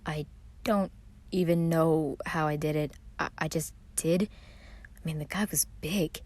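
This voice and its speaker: Woman as a low, shy, mumbling gentle giant. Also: fairly even intonation, a little slow. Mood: shy, hesitant. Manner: mumbling, hesitant, understated. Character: shy, anxious giant young man, gentle and reserved.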